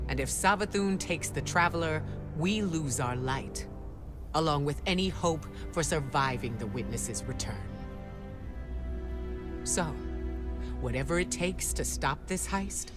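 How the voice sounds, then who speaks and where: An actor playing a resolute, earnest commander in a video game, speaking with determined, dramatic urgency. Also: male voice, on the high side, a little slow, natural voice. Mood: determined, grave. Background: music.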